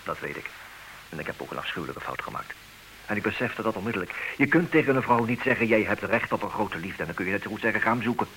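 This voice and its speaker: An actor playing a talkative betrayed husband in a Dutch comic radio play, theatrical and wry, wavering between agitation and resignation. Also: male voice, on the low side, lively intonation, fast. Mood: resigned, wry. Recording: hissy old radio play recording.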